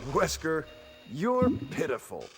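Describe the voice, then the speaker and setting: Young agent hero, slow stiff reads, lively raised pitch, game music behind. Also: male voice, exaggerated voice. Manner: stiff, flatly delivered lines. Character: brave young male special-forces agent. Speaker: game character.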